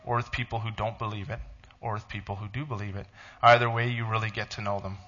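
Tearful low-voiced man reading aloud. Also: level tone, sharp tone, lo-fi sound. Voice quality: tearful, halting. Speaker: pastor. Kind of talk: church talk reading a blog aloud.

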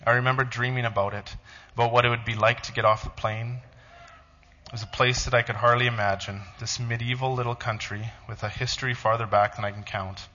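A man, a pastor, reading a blog aloud to his church in a halting, emotional voice. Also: mid-range voice, steady tone, sharp tone, dull audio. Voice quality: tearful, halting.

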